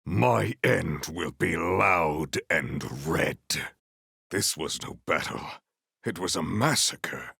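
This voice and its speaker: An actor playing a solemn, honor-bound warrior-monk in a video game, speaking in a formal, stately, theatrical way. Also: male voice, deep voice, lively intonation, takes it slowly, creaky, a bit bright, husky, no echo, studio quality, exaggerated voice. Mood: vengeful, resolute, then somber. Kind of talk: scripted video game dialogue.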